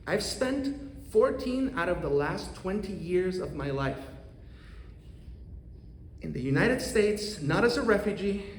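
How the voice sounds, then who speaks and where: A man in his thirties from Costa Rica with a Costa Rican accent, an economics professor giving an earnest, measured prepared talk to a congregation. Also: high voice, animated, slight background noise. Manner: earnest, measured, with occasional restarts. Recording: roomy hall reverb, faint ambience.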